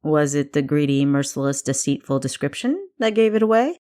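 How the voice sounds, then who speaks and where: A woman voicing a seasoned male spymaster, a dry, measured, teasing mentor in an audiobook. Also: low voice, sing-song, dark tone. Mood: wry, measured. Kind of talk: audiobook character dialogue.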